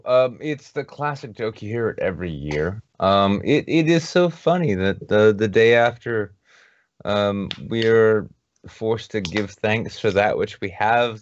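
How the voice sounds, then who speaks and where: Animated man, dry dull mic. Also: fairly low pitch, no echo. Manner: animated, mocking, laughs often. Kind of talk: casual podcast chat.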